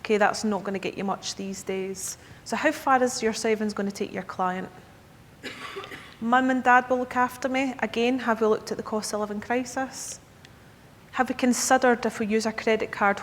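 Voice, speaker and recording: A Scottish woman in her thirties giving a conference presentation to advisers, chatty, often checking in with the audience. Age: 30s. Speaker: key account manager presenting to advisers. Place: conference hall. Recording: echoey hall with faint rumble.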